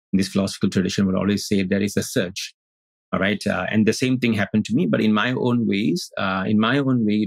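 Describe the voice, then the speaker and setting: Middle aged guy from singapore, husky voice, dry sound, halting guest on a call. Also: on the low side, dry recording. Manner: thoughtful, halting with many restarts. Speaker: guest, Advaita Vedanta teacher. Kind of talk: casual interview talk. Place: indoor room on video call.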